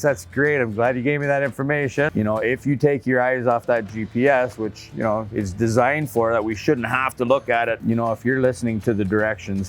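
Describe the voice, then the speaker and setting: Chatty middle-aged trucker man. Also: lowish voice. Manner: chatty, laughs often, rambling asides. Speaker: truck driver vlogger. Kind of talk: casual talk to camera.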